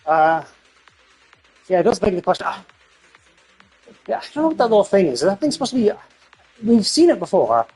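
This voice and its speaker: A man's voice: high-pitched, big pitch swings, a little fast, pauses often, a little mellow, soft background music. A man streaming video games and chatting casually, thinking aloud in a rambling way. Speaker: video game livestreamer.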